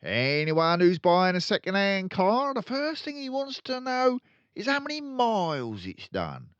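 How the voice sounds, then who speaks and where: A man voicing a rude, boastful, crooked car-dealer father in a storybook, loud and sneering. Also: high voice, huge pitch swings, unhurried, clear, resonant tone, no room sound, dull audio, voice pushed up, heavily acted. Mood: cocky explaining. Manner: loud bragging, sneering, colloquial.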